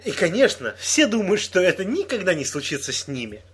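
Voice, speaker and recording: Husky, lively young man teasing. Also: high voice, fairly quick. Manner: teasing, laughs often. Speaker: friend in casual podcast chat. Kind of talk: casual chat.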